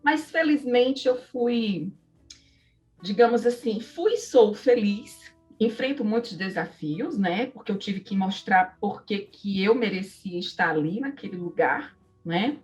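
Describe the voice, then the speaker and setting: A woman's voice: mid-range voice, animated, a little slow. Northeastern Brazilian woman, distant mic over music. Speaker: interview guest, psychology professor. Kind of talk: conversational interview, personal storytelling.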